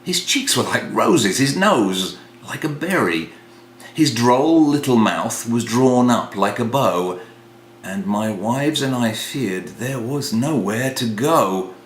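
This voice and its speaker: Slow, theatrical man reciting verse, roomy echo. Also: mid-range voice, lively intonation. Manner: dramatic, hushed passages rising to exclamations. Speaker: reader of a comic poem. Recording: roomy echo, faint hum.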